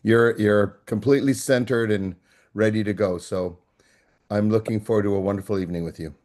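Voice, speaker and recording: Low-voiced man on a video call. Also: steady tone, fairly quick, darkish tone. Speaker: session participant helping with setup. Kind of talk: casual, polite chat on a video call.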